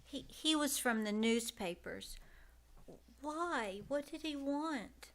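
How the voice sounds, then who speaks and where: A woman playing a timid, polite, wistful little girl, halting and careful in old-time radio drama style. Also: sits high, animated, heavily acted. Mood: uneasy, questioning. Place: live on a small-town theater stage. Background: loud music.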